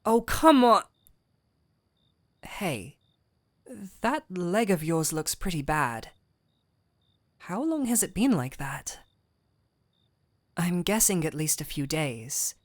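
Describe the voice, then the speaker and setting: A woman playing a gentle but firm fantasy physician, calm and wry, in an intimate audio roleplay with measured, coaxing delivery. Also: lowish voice, no echo. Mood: wry, pleading. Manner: measured, coaxing, wryly stern. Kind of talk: intimate scripted audio roleplay addressed to the listener.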